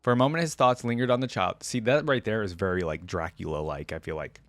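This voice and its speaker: A man hosting a reaction video, reading a fantasy story aloud in an expressive style with casual asides. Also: lowish voice, fast talker, no room sound.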